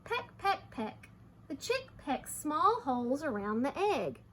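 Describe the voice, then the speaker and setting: A woman teacher reading aloud to young children, expressive, with simple explanations along the way. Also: high voice, takes it slowly.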